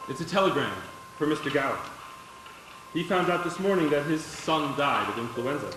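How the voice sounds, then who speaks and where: A man playing a calm, knowing guide who narrates a life story in a measured way in a live radio play. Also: on the high side, lightly acted. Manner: measured, explanatory. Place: stage of a live theater performance. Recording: echoey stage, distant mic, faint music.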